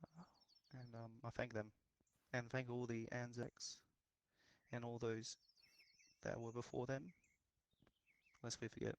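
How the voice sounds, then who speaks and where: A man giving a halting, hesitant memorial speech in voice chat, full of pauses and ums. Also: medium pitch, little pitch movement, creaky. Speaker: memorial speaker.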